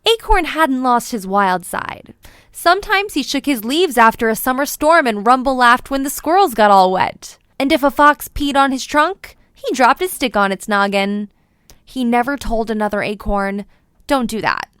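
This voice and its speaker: A woman's voice: fairly high pitch, expressive, normal speed, a bit bright, clean tone, dry recording, studio quality. A woman narrating a children's storybook expressively, with playful, dramatic emphasis. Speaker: children's book read-aloud presenter.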